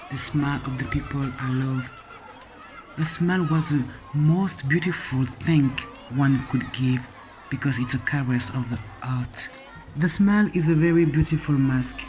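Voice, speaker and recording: Soft-voiced man, reflective. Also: on the high side, a little hiss or hum, low-quality recording. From Congo. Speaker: poet and artist. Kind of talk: reflective personal account with poem reading.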